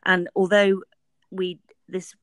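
A woman's voice: fairly low pitch, little pitch movement, slow pace, dry recording, clean studio sound. A woman co-hosting a workplace podcast, talking earnestly and often restarting her sentences. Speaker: podcast co-host. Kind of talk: casual workplace podcast chat.

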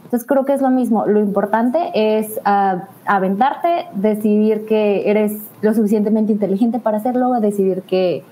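A woman's voice: medium pitch, moderate pace, hardly any pauses, slight background noise, low-quality recording. A woman from Mexico with a Mexican accent, a recruiter talking casually in a panel discussion and acting out quoted people. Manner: chatty, quotes people in lively asides.

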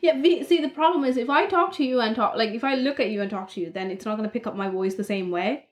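Young woman podcast cohost, higher voice, loud and jokey. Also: fast talker. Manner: playful, teasing, loud. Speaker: podcast co-host. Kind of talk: casual chat. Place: room in Ireland.

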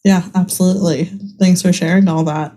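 A woman hosting a podcast, reading a scripted intro and asking measured questions. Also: fairly low pitch, steady tone, warm tone. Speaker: podcast host. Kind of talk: scripted intro then interview.

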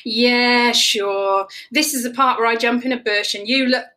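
A woman's voice: a little high, fairly even intonation, unhurried, bright, forceful tone. A woman voicing a moody, indignant rabbit in a children's story, with a huffy, snappish, exclamatory delivery. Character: moody, indignant, dramatic rabbit fussing over carrots. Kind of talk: animated children's storybook character voice.

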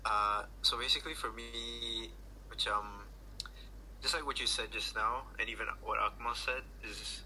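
A man's voice: medium pitch, fairly even intonation, measured pace, sharp tone, poor audio. A man speaking as a podcast guest, hesitant, often stopping to search for words. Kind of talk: casual chat. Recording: faint background noise.

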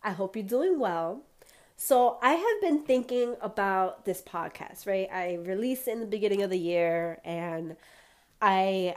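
A woman hosts her solo podcast at an unhurried, deliberate pace. Her voice is a little congested and wheezy, and she encourages listeners directly in a warm-hearted motivational tone. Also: medium pitch. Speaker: podcast host.

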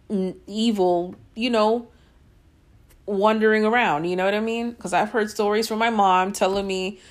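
A young woman of Guyanese and Puerto Rican family, hosting a podcast and telling a personal story in a casual, exasperated way full of fillers. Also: medium pitch. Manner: conversational, frequent fillers, exasperated asides. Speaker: podcast host.

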